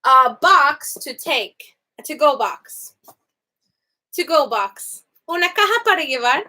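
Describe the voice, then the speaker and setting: A young adult woman teaching simple Spanish phrases in a casual online class, chatty and animated, often laughing and swooping between high and low notes. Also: takes it slowly, rough recording.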